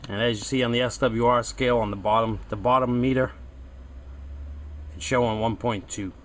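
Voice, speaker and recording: A man's voice: mid-range voice, steady tone, moderate pace, slight background noise. A man giving a casual hands-on demonstration as a hobbyist host, unscripted and thinking aloud as he goes. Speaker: hobbyist video host testing radio antennas.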